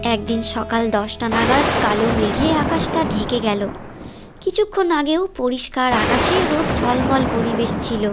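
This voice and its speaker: A woman narrates a scripted story. Clear background music plays behind her storytelling. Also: a little high, moderate pace.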